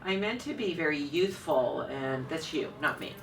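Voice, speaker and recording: A woman's voice: deep voice, lively intonation, moderate pace. A middle-aged woman, the founder of a styling program, talking in an assertive, enthusiastic way in an interview. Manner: assertive, enthusiastic, self-described louder voice. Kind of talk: conversational interview. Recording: distant mic with faint room noise.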